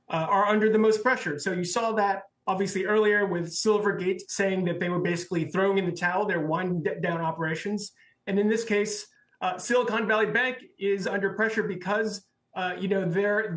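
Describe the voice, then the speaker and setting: A man working as a banking reporter, explaining developments off the cuff in a halting way on live news. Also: sits high, a bit bright. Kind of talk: live unscripted news analysis. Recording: roomy, echoey sound.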